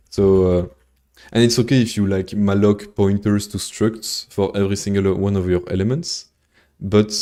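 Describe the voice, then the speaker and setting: Low, slow man coding. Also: dark tone, clear, resonant tone. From Belgium. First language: French. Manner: thinks aloud, halting with many fillers and restarts. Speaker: programmer streaming his coding. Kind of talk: live coding explanation, answering chat.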